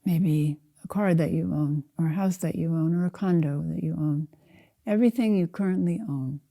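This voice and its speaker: Older woman, very dark mellow low voice. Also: vocal fry. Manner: calm, unhurried, measured, with spaced-out phrases. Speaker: Zen teacher leading a guided meditation. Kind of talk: guided meditation.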